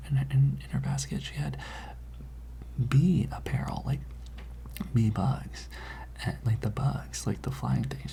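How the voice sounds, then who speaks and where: Gossipy teen student for ASMR roleplay, played by an adult: conspiratorial, rambling whisper with a creaky edge. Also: mid-range voice, mellow. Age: teenage character. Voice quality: breathy whisper with creaky edges. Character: gossipy, dramatic high school student whispering about a librarian.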